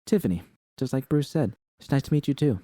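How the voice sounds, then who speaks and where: A man's voice: medium pitch, lively intonation, brisk, warm tone, dry recording, clean studio sound, pitched above the performer's own voice, lightly acted. A man voicing a frank, bold young woman with a blunt, casual manner in a story. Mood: casual, curious.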